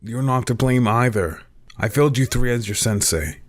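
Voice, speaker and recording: A man playing a mild, responsible young ninja mentor with a measured, conciliatory manner in an anime fan audio drama. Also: mid-range voice, expressive, natural voice. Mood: somber.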